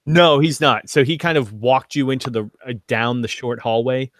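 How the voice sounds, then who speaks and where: A man runs a tabletop game in an ordinary mid-range voice. He narrates casually and haltingly, slipping in self-deprecating asides, with a dry, room-free sound. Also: medium pitch, a bit bright, no echo. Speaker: game master.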